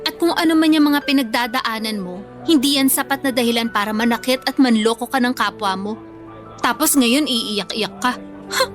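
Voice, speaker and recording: A high-pitched young Filipina student played by a woman in a radio drama. She is wronged and vengeful, throwing out scornful taunts with emphatic, confrontational force. Faint music sits behind her. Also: moderate pace, close to an everyday voice. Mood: mocking.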